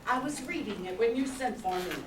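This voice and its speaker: An innocent, eager apprentice angel acted by an older woman in a radio play. The voice is fairly high and very animated, heard at a distance in a roomy, noisy space. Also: brisk, natural voice. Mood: polite, hopeful. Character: eager, innocent two-hundred-year-old apprentice angel. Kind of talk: old-time radio play acting. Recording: roomy, distant stage recording with noise.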